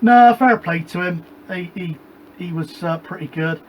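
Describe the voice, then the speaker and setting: A man's voice: sits high, measured pace, a little hiss or hum. A British man chatting casually on a CB radio net from his home shed, rambling and handing each turn back to the others. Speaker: CB radio operator and show host. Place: home shed radio room with a gas fire.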